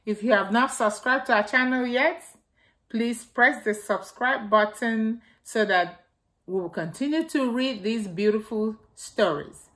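A middle-aged woman hosting a storytime, reading aloud to small children in simple, deliberate phrases and repeating key words. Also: fairly high pitch, a bit bright. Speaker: storytime host reading a picture book to young children. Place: indoors in a home, beside a staircase.

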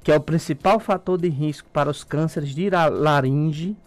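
A man's voice: fairly high pitch, moderate pace. A middle-aged man with a Brazilian accent, an ENT doctor explaining things step by step as a guest in a radio studio, speaking through a face mask. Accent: Brazilian Portuguese. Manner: didactic, calm explaining. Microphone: studio mic, wearing a face mask.

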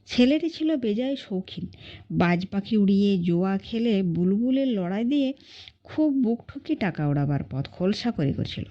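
A woman's voice: mid-range voice, sing-song, normal speed, highs cut off. A woman narrating a classic short story as an audiobook reader, in scripted storytelling style.

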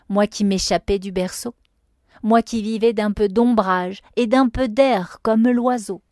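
A woman reciting a literary poem with measured, expressive phrasing. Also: mid-range voice, no room sound, clean studio sound. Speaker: poetry reader.

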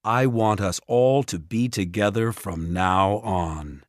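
Man as a storybook father, fairly low voice, apologetic, coaxing, measured, dry sound. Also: dry recording.

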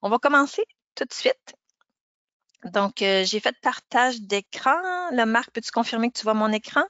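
Brief: Québécoise woman teacher, bright high voice, dry close webinar mic, muffled audio. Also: moderate pace, dry recording. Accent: Québécois French. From Quebec. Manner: chatty, informal, frequent fillers. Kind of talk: casual webinar presentation. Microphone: computer mic on a video call. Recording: dull muffled video-call audio.

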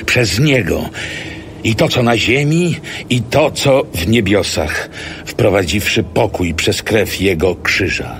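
Male scripture reader: very low, unhurried, solemn and reverent. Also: a little hiss or hum. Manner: measured, reverent phrasing. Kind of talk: solemn dramatized scripture reading.

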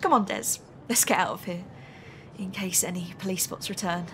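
A woman's voice: on the low side, moderate pace, close to an everyday voice. A woman playing an outspoken, desperate young fugitive gambler in an audio drama. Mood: grateful. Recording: faint background noise.

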